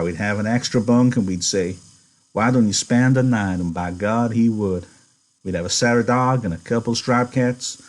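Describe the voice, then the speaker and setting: A man voicing a practical, guarded yet dreamy male ranch worker with rough, folksy phrasing in a novel reading. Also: mid-range voice, natural voice. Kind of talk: audiobook-style character reading.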